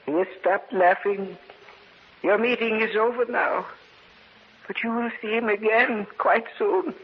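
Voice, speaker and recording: Ominous high-voiced old man. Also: steady tone, measured pace, slightly rough. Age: older. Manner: ominous, portentous, formal. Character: eerie old man serving an unseen sinister master. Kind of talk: old radio horror acting. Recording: lo-fi, noisy old radio recording.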